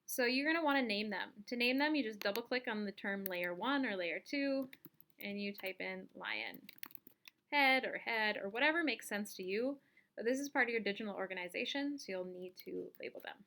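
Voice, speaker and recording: A woman giving a casual step-by-step software tutorial, thinking aloud as she demonstrates each action. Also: a little high, normal speed. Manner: informal, instructional, thinking aloud while demonstrating. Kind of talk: casual step-by-step screen-recorded lesson.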